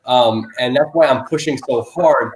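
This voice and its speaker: A man hosting an animal rescue live stream, talking loudly in a rambling way full of restarts and fillers. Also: mid-range voice, unhurried, echoey room, slight background noise, poor audio. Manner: loud, self-described loud person. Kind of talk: casual live-stream interview.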